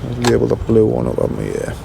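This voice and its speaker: A man's voice: lowish voice, huge pitch swings, quick pace, vocal fry. A man giving a casual, matter-of-fact repair walkthrough, thinking aloud as he works. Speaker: intercom repair engineer presenting his work. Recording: loud traffic and outdoor street noise.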